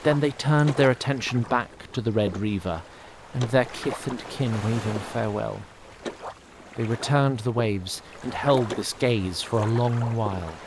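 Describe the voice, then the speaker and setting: A man's voice: mid-range voice, noisy background. A man narrating a fantasy story in a scripted storybook style, wry, ornate and formal.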